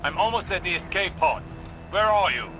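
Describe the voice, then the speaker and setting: A stubborn warlock leader from a sci-fi video game. The delivery is commanding, terse and formal, in a slightly high register. Music is clearly audible behind the voice. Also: male voice, lively intonation, moderate pace, low-quality recording. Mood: urgent.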